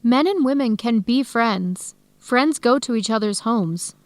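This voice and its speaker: Radio drama girlfriend: young, conciliatory, earnest, slightly high pitch. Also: animated, a little slow, dry recording. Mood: earnest, pleading. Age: young adult. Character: kind, conciliatory young Ethiopian American girlfriend. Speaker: actor in an educational radio drama.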